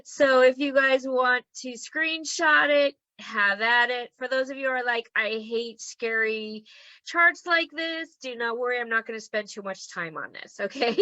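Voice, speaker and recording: A woman's voice: fairly high pitch, a little slow, sharp tone, no echo, rough recording. A woman teaching an online group in a casual, encouraging way. She keeps checking in with "okay?" and her voice is worn from losing it. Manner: chatty and encouraging, frequent "okay?" check-ins. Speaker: human design teacher.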